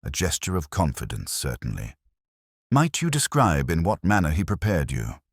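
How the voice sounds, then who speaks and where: A man voicing a tranquil, shrewd police inspector in an audiobook mystery, speaking gently and with measured kindness. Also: rumbling low, big pitch swings, slightly rough, no room sound, clean studio sound, heavily acted. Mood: calm.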